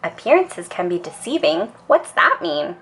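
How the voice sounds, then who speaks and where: A woman's voice: lowish voice, big pitch swings, moderate pace, a bit bright, slight background noise, rough recording. A woman voicing a curious, opinionated little girl bear cub from a storybook, questioning and emphatic.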